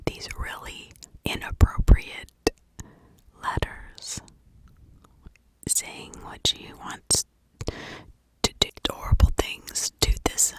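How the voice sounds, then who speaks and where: A woman whispering in a breathy, unvoiced ASMR style, telling a story informally with conversational asides. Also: slow, long pauses, poor audio. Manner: conversational, with rhetorical asides and check-ins. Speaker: ASMR true crime storyteller.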